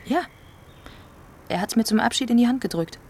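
A woman's voice: fairly high pitch, normal speed, darkish tone, slight background noise, close to an everyday voice. An actress playing a nervous, conflicted woman confiding in her partner, hesitant and defensive, in a naturalistic radio drama.

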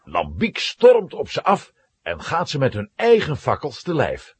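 A man's voice: mid-range voice, huge pitch swings, unhurried, slightly rough. A man narrating a children's adventure story as a dramatic, scripted storyteller.

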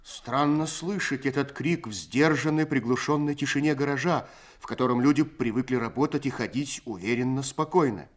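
A man narrating a literary story for a radio play in a measured, expressive storytelling manner. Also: medium pitch.

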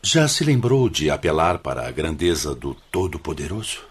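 A man's voice: very deep voice, very animated, husky, exaggerated voice. A man with a Brazilian accent playing a patient, paternal spiritual counsellor and hospital director in a radio drama, speaking solemnly and formally. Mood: earnest, admonishing. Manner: solemn, measured, admonishing.

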